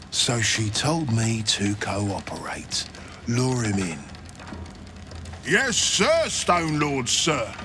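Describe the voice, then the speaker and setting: A glib, money-loving banker in a fantasy video game. He talks slowly at a middling pitch, wry and evasive, with sarcasm under the polite patter. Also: vocal fry, bright, forceful tone, a little hiss or hum, heavily acted. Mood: wry, sour, then mocking. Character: self-serving male banker, wry, glib and greedy.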